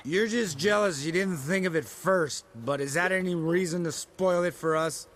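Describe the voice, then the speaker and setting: An actor playing a brash, sarcastic, goading monster hunter in a video game. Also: male voice, on the high side, normal speed, fairly bright tone, close to an everyday voice. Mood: taunting. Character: brash, needling, drunken monster hunter, grown man.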